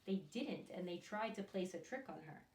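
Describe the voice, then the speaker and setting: A woman storyteller reads a picture book to small children with a very lively, swooping delivery. She breaks off to put questions straight to her young listeners. The sound is roomy and echoing, a little away from the microphone. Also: lowish voice, moderate pace. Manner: expressive, addresses children directly with questions. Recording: echoey room, distant microphone.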